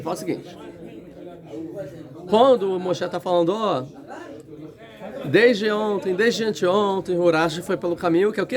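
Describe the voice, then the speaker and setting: A man teaching a casual Torah lesson to a class, thinking aloud, restarting sentences and putting questions to the students. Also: a little high. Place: large indoor room. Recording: room with crowd noise. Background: students in the room.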